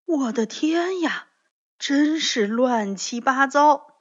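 A kindly old grandmother bear from a children's storybook, acted out by a woman. She speaks slowly and softly, with patient, forgiving gentleness, in a slightly dull recording. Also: sits high, expressive, voice pushed up. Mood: dismayed. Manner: gentle, slow, soft-spoken.

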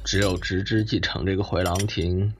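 A man's voice: deep voice, fairly even intonation, normal speed, slight background noise, lightly acted. A man playing a worldly middle-aged member of a wealthy family in an audio drama, opinionated and matter-of-fact. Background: loud music and clinking tableware.

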